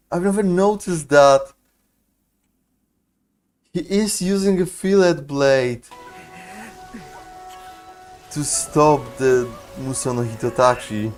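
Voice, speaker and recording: Slangy, sweary young guy reacting, drawn-out delivery. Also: sits high, darkish tone. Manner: profane, slangy, incredulous. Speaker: streamer reacting to a game. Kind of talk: casual live game commentary.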